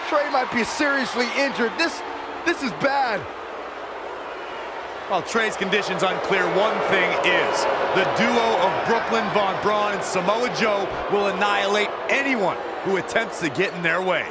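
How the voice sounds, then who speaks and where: Dramatic wrestling commentator for a video game: high pitch, earnest, heavy arena noise around him. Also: male voice, unhurried, over a noisy crowd. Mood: dismayed. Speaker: commentator and announcer. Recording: loud arena noise behind.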